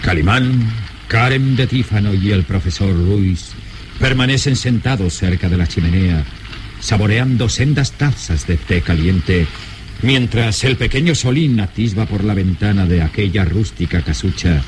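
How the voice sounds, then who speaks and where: A man's voice: lowish voice, measured pace, a little hiss or hum. A man narrating a radio serial in a dramatic, solemn, theatrical scripted style.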